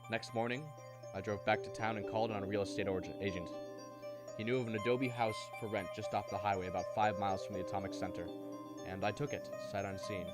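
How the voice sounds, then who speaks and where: Man playing earnest radio drama hero, lowish voice. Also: steady tone, fast, loud music behind the voice, lightly acted. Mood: steady. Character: earnest, troubled man searching for his missing brother. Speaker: lead and narrator. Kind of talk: radio drama acting and narration.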